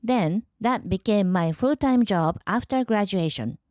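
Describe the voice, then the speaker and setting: A woman from Japan hosting a podcast, calmly explaining in a measured, clearly enunciated way. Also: medium pitch, dry recording, studio quality. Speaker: podcast host. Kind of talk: scripted explanatory podcast monologue.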